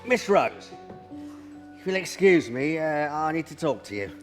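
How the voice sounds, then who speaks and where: A bumbling pantomime spellcaster, high-voiced and very lively in intonation. He coaxes eagerly with stammers and hesitations, in a reverberant hall. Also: moderate pace. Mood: coaxing. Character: bumbling, eager, well-meaning pantomime magic helper. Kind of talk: pantomime stage acting. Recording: hall reverb with music, live stage.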